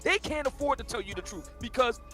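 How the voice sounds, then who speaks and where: A man's voice: extremely high pitch, animated, brisk, bright, forceful tone. A man in a played clip giving a boastful, preachy monologue. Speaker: dating commentator. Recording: clip with faint music.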